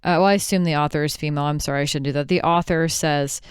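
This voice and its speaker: A woman's voice: low-pitched, steady tone, fast talker, clear, resonant tone, no echo, studio quality. A woman co-hosting a podcast in casual, chatty conversation, reacting readily to what she hears. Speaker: podcast co-host. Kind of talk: casual chat.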